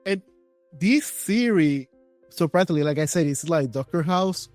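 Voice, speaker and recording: A male host whose first language is Spanish asks questions excitedly, exclaiming as he goes, with slightly broken phrasing. His voice sits a little high for a man. The recording is dry, with faint music behind it. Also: measured pace, no echo. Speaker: podcast host. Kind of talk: casual interview questions.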